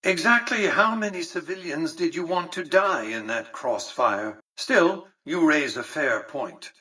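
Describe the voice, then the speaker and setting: A calculating older tycoon from a video game, a man used to command. His voice is bright and forceful with a husky grain. He speaks formally and precisely, looking down on whoever he addresses, and sounds set somewhat back from the microphone. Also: on the high side, expressive, measured pace, far from the mic, exaggerated voice, rough recording. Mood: explanatory, dry. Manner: condescending, precise, formal, exacting. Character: imperious, calculating older male tycoon commanding a robot army. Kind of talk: scripted video game character dialogue.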